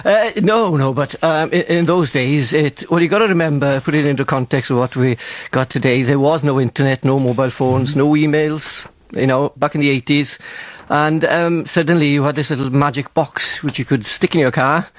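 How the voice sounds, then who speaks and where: A man's voice: on the high side, steady tone, normal speed. A middle-aged man, a radio presenter, speaking as a guest in a live radio interview. He talks in a chatty, nostalgic way, with many fillers and restarts.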